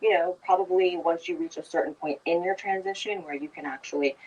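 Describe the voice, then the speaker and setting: A debater makes an argumentative, emphatic case, caught on a microphone set some way off. The pitch sits mid-range and the voice has a slightly distant, open sound. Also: a little fast, darkish tone, muffled recording. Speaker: debate participant. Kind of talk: heated debate.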